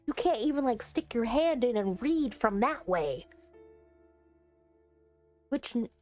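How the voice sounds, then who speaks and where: Expressive, high-voiced woman streaming a game. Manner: expressive, chatty reactions with playful asides. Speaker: streamer playing and reading a visual novel. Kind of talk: let's play commentary mixed with reading dialogue aloud. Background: soft music.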